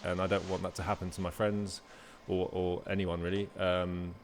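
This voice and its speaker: A man hosting a conversation, talking casually and haltingly with many restarts. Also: low-pitched, level tone, a little hiss or hum. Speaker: interviewer. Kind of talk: casual interview chat.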